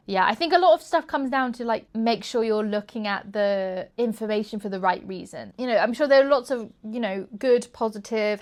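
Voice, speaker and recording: A woman vlogger talking in a casual, reflective way, thinking aloud and questioning herself as she goes. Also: mid-range voice. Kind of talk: casual, reflective chat to camera.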